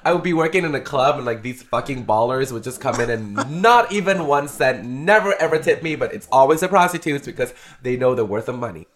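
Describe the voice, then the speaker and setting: A man's voice: mid-range voice, expressive, a little fast, hardly any pauses, a bit bright. A camp man chatting loosely in an interview, full of bawdy jokes and frequent laughter. His voice sits in a middle register. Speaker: drag performer interviewed. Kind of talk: casual interview chat.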